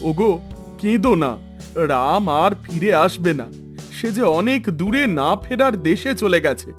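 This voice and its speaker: Man acting a mournful, higher-voiced cartoon father. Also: big pitch swings, measured pace, faint music behind. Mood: resigned sorrow. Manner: mournful, emphatic lamenting. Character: sorrowful village father of two.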